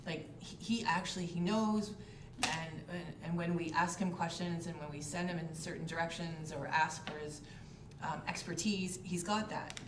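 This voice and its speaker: A woman councillor with a hoarse voice from a cold, speaking haltingly and repeating herself in a council meeting. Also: on the low side, steady tone. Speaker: town councillor. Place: echoey council chamber. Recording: echoey room, distant mic, background noise.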